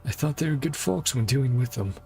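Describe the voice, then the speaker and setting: A man's voice: mid-range voice, animated, fast talker, gravelly, a little mellow, soft background music. A man voicing a wary, grumbling city guard in a fantasy game, talking in a suspicious, defensive way. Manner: defensive, suspicious, reasoning aloud.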